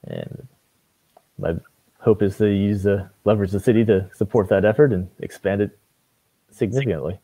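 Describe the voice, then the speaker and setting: A young man from Maine, a city council candidate, talking on a video call from a room at home, relaxed and hesitant, with many fillers. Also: on the low side, moderate pace, pauses often, no room sound, poor audio. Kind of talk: casual video call interview. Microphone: video call webcam.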